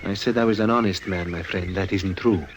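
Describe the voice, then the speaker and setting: An actor playing a weary, devoted father with a secret shady life, earnest and halting, in an old-time radio drama. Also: low-pitched, steady tone, lightly acted. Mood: ashamed, sorrowful. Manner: earnest, halting, formal phrasing.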